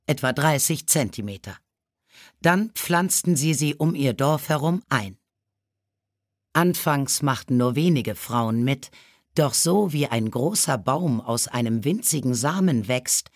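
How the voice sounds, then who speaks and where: A man reading scripted biographical stories for children as an audiobook narrator, measured and clearly articulated. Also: medium pitch, dry recording, studio quality.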